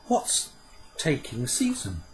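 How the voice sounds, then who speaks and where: Earnest, wary young boy in a storybook, voice pitched up, stammers when worried, as a man puts him on. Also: wildly swooping pitch, takes it slowly, noisy background.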